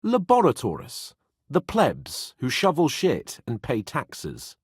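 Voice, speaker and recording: Haughty young British nobleman, wildly animated. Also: mid-range voice, slow pace, slightly rough, no room sound, clean studio sound, heavily acted. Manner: pompous, sardonic, exasperated. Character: haughty young nobleman, cocky, whiny, sarcastic medieval lord. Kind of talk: video game voice acting.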